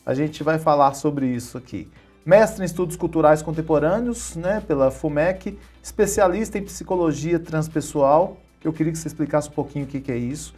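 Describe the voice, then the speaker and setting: A man's voice: fairly high pitch, a little fast, a little mellow, soft background music. Chatty talk-show host man. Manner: chatty, laughs easily. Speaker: interview host. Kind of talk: interview hosting.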